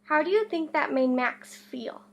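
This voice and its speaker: A woman reading a storybook aloud as a teacher does, deliberately and interactively. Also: high-pitched, lively intonation. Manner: deliberate, with questions to a listener.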